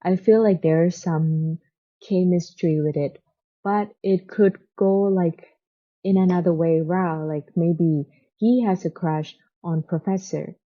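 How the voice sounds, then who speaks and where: A woman's voice: on the low side, steady tone, slow pace, lo-fi sound. A woman who speaks English as a second language, chatting casually and unscripted like a book vlogger, often hesitating and searching for words. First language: not English, speaks it as a second language.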